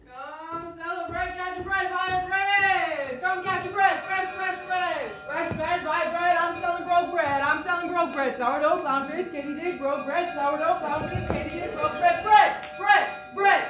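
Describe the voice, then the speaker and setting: A woman playing a zealous, pious street bread seller on stage, crying out in a loud, declamatory way. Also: very high-pitched, steady tone, measured pace, few pauses. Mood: fervent hawking. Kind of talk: amateur theatrical chanting and street cries. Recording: echoey stage from far off, music.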